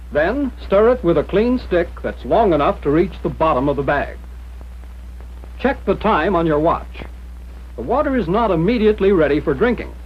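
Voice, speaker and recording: A man's voice: high voice, slightly rough. An American man reading a scripted 1940s military instructional narration in a plain-spoken, direct, instructive manner. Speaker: training film narrator. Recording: muffled, dull 1940s film soundtrack.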